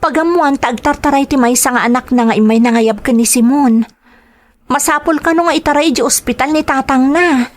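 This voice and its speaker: A woman's voice: sits high, a little fast, voice pushed up, close to an everyday voice. A woman playing a worried, caring young wife and daughter in an audio drama, with an earnest, exclaiming manner. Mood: urgent, alarmed. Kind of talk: dramatized radio drama acting.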